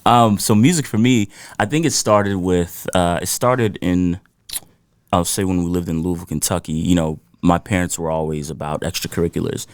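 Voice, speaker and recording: A man's voice: sits low, moderate pace, no echo, clean studio sound. A man from Ghana, a musician guest on a podcast, telling stories in an easygoing way with frequent laughs and "you know.". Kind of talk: casual interview storytelling.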